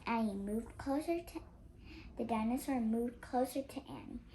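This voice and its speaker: An eight-year-old girl reading a storybook aloud, carefully and a little haltingly, with expression. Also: on the high side, mellow. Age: child of 8. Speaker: young reader. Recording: noisy home recording.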